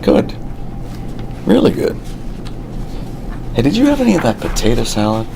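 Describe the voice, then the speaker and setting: An actor playing an outwardly cheery, middle-aged brother-in-law, chatty and halting in a table read of a TV drama. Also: male voice, medium pitch, wildly swooping pitch, gravelly, background noise. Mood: solicitous, probing.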